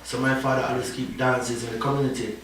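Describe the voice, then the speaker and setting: A man's voice: mid-range voice, level tone, fast, fairly bright tone. A Jamaican man talking through his story in a rambling, easygoing way, often adding "you know?". His voice sits far from the mic in a very echoey room, with a faint hiss of noise behind it. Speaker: reggae artist guest. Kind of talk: casual interview talk.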